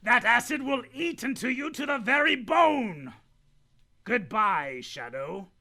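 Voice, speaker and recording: A cackling gravelly villain. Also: male voice, very high-pitched, sing-song, slow, sharp tone, exaggerated voice. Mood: gloating. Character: cackling crazed male poisoner villain. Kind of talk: amateur radio play acting.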